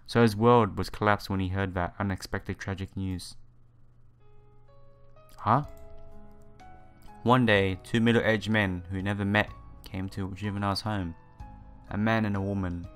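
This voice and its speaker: A man's voice: lowish voice, smooth. A man doing a game playthrough, reading the story text aloud with casual asides and stumbling over some words. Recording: game music behind the voice.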